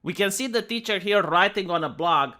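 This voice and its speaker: A man's voice: high-pitched, animated, fairly quick, sharp tone. A man with a Romanian accent giving an earnest, emphatic commentary monologue, often restarting words and saying "like". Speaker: online commentator.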